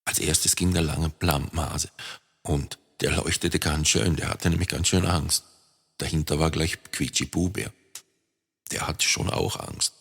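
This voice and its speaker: A man's voice: rumbling low, fairly even intonation, unhurried, vocal fry, fairly bright tone, husky. A man narrating a children's story expressively and playfully, speaking straight to young listeners. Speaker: author and storyteller. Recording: long reverb.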